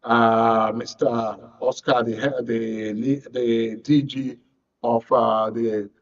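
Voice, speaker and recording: Halting Nigerian male talk-show host. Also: mid-range voice, level tone, muffled recording. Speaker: radio and TV host.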